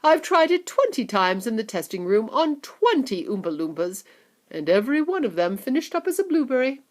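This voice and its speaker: An eccentric storybook inventor sighs his way through his lines, as a woman reader puts him on, lilting up and down. His tone is calm and rueful. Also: fairly high pitch, moderate pace, voice pushed up. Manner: sighing, rueful. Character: eccentric sighing male candy inventor.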